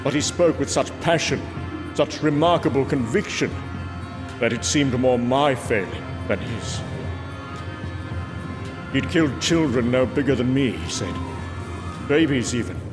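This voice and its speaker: A man voicing a brooding god who narrates in the first person, solemn and measured, in a scripted comic book drama. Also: medium pitch, expressive, natural voice. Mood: haunted, solemn. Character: brooding male god recalling a haunting horror. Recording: dramatic music behind the voice.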